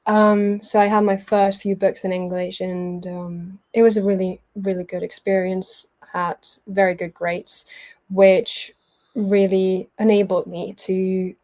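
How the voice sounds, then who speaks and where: A woman's voice: medium pitch, level tone, a little slow, a little mellow. A woman in her early twenties from Spain, with a Spanish accent, telling her own life story informally as a guest, chatty and self-effacing. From Mallorca, Spain. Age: early 20s. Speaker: guest sharing a personal testimony.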